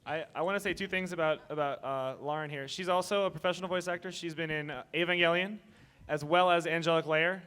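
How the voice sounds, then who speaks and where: A man's voice: a little high, fairly even intonation, quick pace, fairly bright tone, clear, resonant tone. A man moderating a panel in casual talk, speaking into a microphone in a convention room. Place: indoor convention meeting room. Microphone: table microphone.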